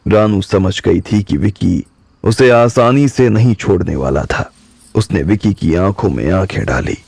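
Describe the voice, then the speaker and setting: A man narrating a drama audiobook in steady storytelling. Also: low-pitched, a little slow, gravelly, husky, dry recording.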